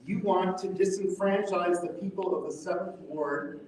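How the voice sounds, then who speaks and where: A man giving a prepared, accusatory public comment to a city council, measured and pointed. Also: sits high, darkish tone. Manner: accusatory, measured, reading from notes. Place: council chamber. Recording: echoey distant hall recording, noisy.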